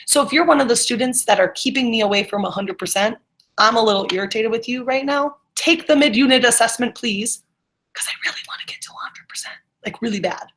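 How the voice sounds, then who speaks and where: A woman's voice: on the high side, brisk, fairly bright tone. A woman teacher leading an interactive online class, encouraging students and checking their understanding with questions. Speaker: middle school English teacher.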